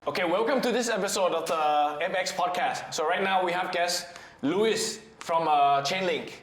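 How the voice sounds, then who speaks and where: A man hosting a podcast, chatty, asking quick questions and often repeating himself. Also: sits high, fairly bright tone, faint music behind. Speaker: podcast host. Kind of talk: casual interview chat. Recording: echoey room.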